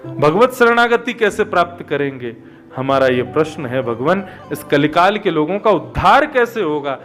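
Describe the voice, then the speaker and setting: High-voiced male katha preacher. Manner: conversational, animated storytelling with rhetorical questions. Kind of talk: devotional discourse with everyday anecdotes.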